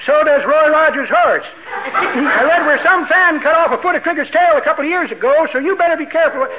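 A man's voice: very high-pitched, fairly quick. An actor playing a cheeky, talkative old man in a 1940s radio comedy. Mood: wry, gossipy. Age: older. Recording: tinny, noisy 1940s radio recording.

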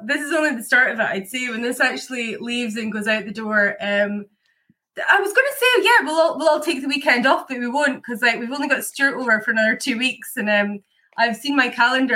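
A woman's voice: a little high, sharp tone. A woman from Scotland, now a whiskey maker, chatting in an interview over a video call, full of "like" fillers and laughter. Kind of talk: casual interview chat.

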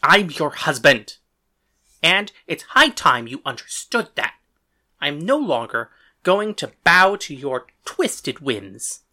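A woman's voice: sits low, sing-song, slow pace, pushed and bright, voice pushed down, heavily acted. A woman voicing a defiant, exasperated mayor and husband with an indignant, blustering manner in an audiobook. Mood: defiant, angry. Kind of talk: audiobook character dialogue.

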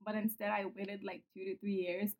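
A woman's voice: medium pitch, flat delivery, moderate pace, a little mellow, rough recording. A 21-year-old woman chatting casually as a podcast guest, giggling often and filling her talk with "like". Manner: giggly, chatty, lots of "like" fillers. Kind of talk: casual chat.

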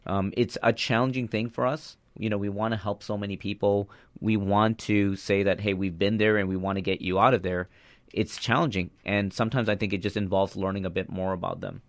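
Male guest speaker, creaky tone, dry sound, sincere and conversational personal storytelling. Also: on the low side, steady tone, a little fast, no room sound. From Saudi Arabia, later moved to Canada.

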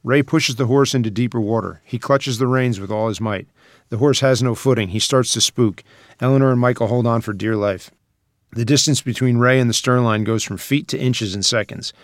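A man's voice: mid-range voice, dry recording. Man narrating screenplay directions, dry sound. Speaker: narrator reading stage directions.

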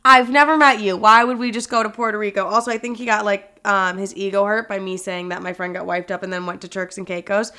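Sassy young woman, clear smooth voice. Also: mid-range voice, fairly even intonation, quick pace, hardly any pauses, bright, forceful tone. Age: young adult. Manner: sassy, gossipy, dramatic emphasis, valley-girl "like" filler speech. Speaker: host of a solo chat show. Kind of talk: casual confessional storytelling chat.

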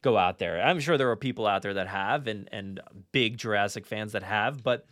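A man's voice: on the low side, normal speed, a bit bright, dry recording, studio quality. A man hosting a podcast in casual fan chat, enthusiastic but often restarting and repeating his phrases. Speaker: podcast host.

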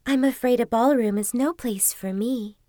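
A woman reading aloud, voicing a shy, kind young girl who speaks softly and modestly. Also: a little high, moderate pace, no echo, clean studio sound, close to an everyday voice. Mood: gentle.

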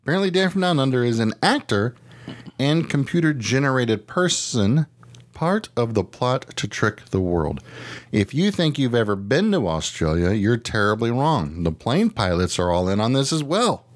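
A man's voice: mid-range voice, big pitch swings, normal speed. A man hosting a podcast, reading news items aloud in an informal, bantering style with frequent interjections. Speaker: podcast host. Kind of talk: casual comedic news chat, reading articles aloud.

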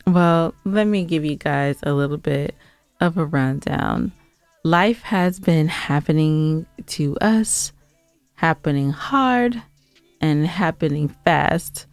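A woman's voice: low voice, slow pace, gravelly, no echo. A woman co-hosting a podcast in casual, playful, teasing chat. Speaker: podcast co-host.

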